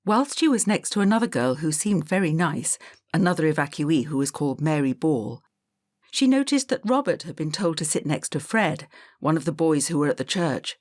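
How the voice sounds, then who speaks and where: A woman narrating a children's audiobook in a calm, measured storytelling manner. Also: sits low, no echo, clean studio sound.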